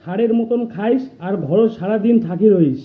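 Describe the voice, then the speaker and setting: Tinny narrow-band sound, high voice, man playing grouchy stingy village dad, cartoon comedy, nagging, rural northern Bengali accent. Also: expressive, moderate pace. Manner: nagging, scolding, coarse insults. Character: scolding, stingy, bad-tempered old village father. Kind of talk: comic cartoon dialogue. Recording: thin phone-like sound, faint noise.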